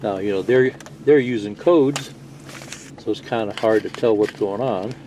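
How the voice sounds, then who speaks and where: Mellow middle-aged man, casual product walkthrough. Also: on the low side, normal speed, slight background noise, poor audio. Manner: rambling, explanatory, plain-spoken. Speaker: CB radio video presenter.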